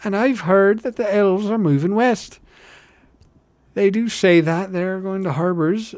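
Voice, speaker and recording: A man's voice: high-pitched, unhurried, a little mellow, no echo, pitched above the performer's own voice. A man voicing a simple, earnest working hobbit in a storybook, with an Irish-style accent and a folksy, emphatic way of talking. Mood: wondering. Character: earnest simple working hobbit, wonder-struck male tale-teller. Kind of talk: audiobook-style fantasy character reading.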